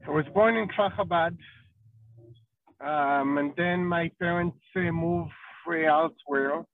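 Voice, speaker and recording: Israeli rabbi guest, slow and halting, high voice, thin phone-line audio. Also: male voice, no echo. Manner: slow and halting, many ums and uhs. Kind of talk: podcast interview.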